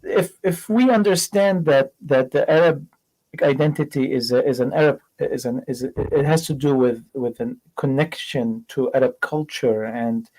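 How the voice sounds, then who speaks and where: A man's voice: mid-range voice, normal speed, darkish tone, rough recording. A man hosting an interview, asking earnest questions and adding his own views, with some restarts. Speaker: host.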